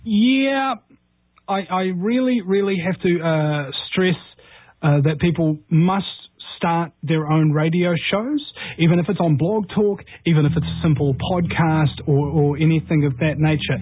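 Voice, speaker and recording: A man from New Zealand speaks with a husky voice that sits a little high, in combative, rousing talk-radio style. He comes through a thin, tinny phone line in a dry, close sound. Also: unhurried, dry recording. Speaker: radio show host appearing as guest. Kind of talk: impassioned talk radio conversation.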